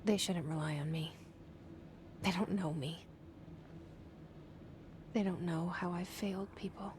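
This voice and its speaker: An actress playing a guarded young woman's inner thoughts in a video game, hushed, introspective and often whispery. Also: on the low side, steady tone, unhurried, noisy background, natural voice. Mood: troubled, introspective.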